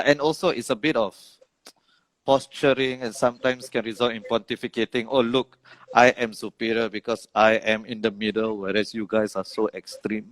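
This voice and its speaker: A man's voice: medium pitch, no room sound, poor audio. A man with a Singaporean accent hosting a podcast interview, asking questions with lots of hesitations and restarts. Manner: hesitant, many fillers and restarts, quick to laugh. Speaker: podcast host, political science professor.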